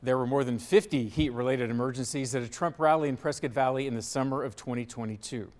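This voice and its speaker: A man's voice: mid-range voice, quick pace, a little mellow. A man with an American accent reading scripted local news as a television anchor in a studio.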